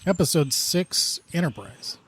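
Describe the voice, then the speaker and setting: A man's voice: on the high side, lively intonation, measured pace, a bit bright, slightly rough, full character voice, poor audio. A man playing a duty-obsessed, earnest time-crimes investigator in a theatrical radio drama parody. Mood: serious. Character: gruff, duty-obsessed male time-crimes investigator who scorns leisure.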